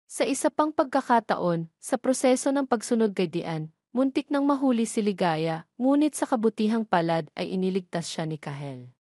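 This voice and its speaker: A woman's voice: medium pitch, expressive, moderate pace, warm tone, no room sound, studio quality. A woman reading a scripted story as its narrator.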